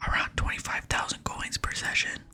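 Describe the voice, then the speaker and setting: A woman's voice: brisk, sharp tone. A woman whispering the game dialogue of a tough, teasing, motivational barbarian trainer in ASMR style. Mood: teasing, friendly. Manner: blunt, coaching, whispered.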